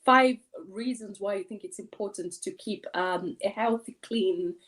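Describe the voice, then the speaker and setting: A woman's voice: mid-range voice, a little slow, a bit bright. A woman hosts an online interview. Her voice is mid-range and she asks her guest questions in a conversational way. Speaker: talk show host.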